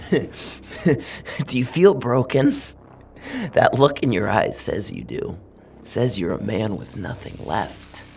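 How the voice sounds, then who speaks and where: A man voicing a vengeful, sadistic masked killer in a horror audio story, speaking in a deliberate, menacing, mocking way. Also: on the low side, sing-song, vocal fry, background noise, voice pushed up, heavily acted. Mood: gloating, taunting.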